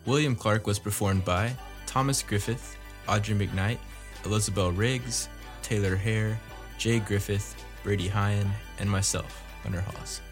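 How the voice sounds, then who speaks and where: A man's voice: fairly low pitch, steady tone, a little slow, hardly any pauses. A man co-hosting a podcast, reading scripted announcements and credits with light jokes. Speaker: podcast co-host, writer and producer. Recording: music bed behind voice.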